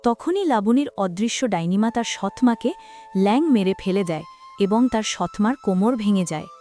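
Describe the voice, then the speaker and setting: A woman narrating a cartoon fairy tale in an even storytelling voice. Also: medium pitch, normal speed, faint music behind.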